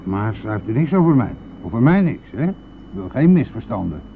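Gravelly, brazen bus driver. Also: male voice, fairly low pitch, very animated, moderate pace. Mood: irritated, offhand. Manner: blustering, offhand, wheedling. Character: brazen, evasive bus driver, cajoling and dismissive. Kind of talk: radio play acting. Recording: noisy phone-like old radio play recording.

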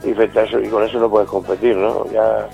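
A man in his fifties from Spain, by his accent, with a slightly low voice. He speaks as an interviewed activist, informally and haltingly with many tag questions. The sound is dull and lo-fi, with music faintly behind him. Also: flat delivery, gravelly, mellow. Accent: Spanish from Spain. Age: 50s. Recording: dull band-limited call with music behind.

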